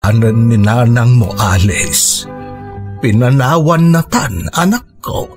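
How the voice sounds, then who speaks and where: A man's voice: medium pitch, animated, takes it slowly, over music. An actor playing a grieving, heavy-hearted father consoling his child in a radio drama. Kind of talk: Ilocano radio drama acting.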